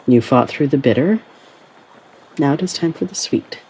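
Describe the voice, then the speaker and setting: Woman acting gentle, encouraging family confidante. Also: a bass voice, lively intonation, normal speed, slight background noise, poor audio. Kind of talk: audio play acting and narration.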